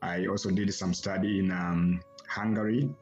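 Man, low-pitched, halting and repetitive phrasing, slightly dull audio. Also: fairly even intonation, darkish tone, soft background music. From Tanzania. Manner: halting, often repeats words when starting phrases. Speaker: academic guest. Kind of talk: podcast interview answers.

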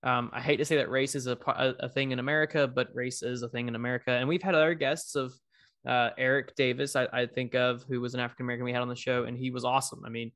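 A man's voice: medium pitch, flat delivery, fast talker. A man hosting a podcast interview, asking long, rambling questions with frequent restarts. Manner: rambling, warm-hearted, restarts often. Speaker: podcast host. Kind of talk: casual interview conversation.

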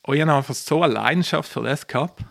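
A man's voice: fairly high pitch, fast, creaky, a bit bright. A man in his thirties with a South Tyrolean accent, telling his own story casually and enthusiastically as a podcast guest, jumping between half-finished sentences. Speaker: podcast guest, professional poker player. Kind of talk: casual chat, personal storytelling.

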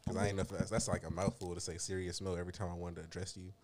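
Quick-talking man co-hosting a podcast. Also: low voice, flat delivery, noisy background. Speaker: podcast co-host. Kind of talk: casual banter.

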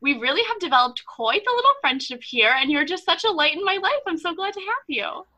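A young woman in her twenties hosting a casual podcast, talking warmly and effusively to her guest. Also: extremely high pitch, expressive, a little fast. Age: 20s. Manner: gushing, enthusiastic. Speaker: podcast host.

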